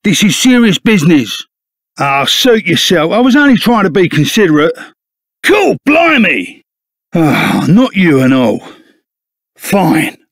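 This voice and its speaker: Gravelly Cockney bandit bruiser. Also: male voice, high voice, wildly swooping pitch, measured pace, fairly bright tone, no echo, clean studio sound, exaggerated voice. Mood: exasperated. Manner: blunt, slangy, blustering. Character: burly, blustering, loyal bandit sidekick with rough manners. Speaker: video game voice actor. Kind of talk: animated video game character acting.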